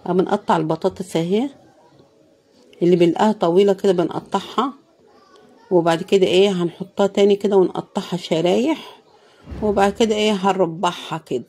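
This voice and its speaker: A woman with an Egyptian Arabic accent and a hoarse, tired voice, chatting casually as she cooks. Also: mid-range voice, fairly even intonation, quick pace, long pauses, mellow. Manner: tired, pious, often praising God. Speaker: cooking vlogger. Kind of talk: casual cooking commentary.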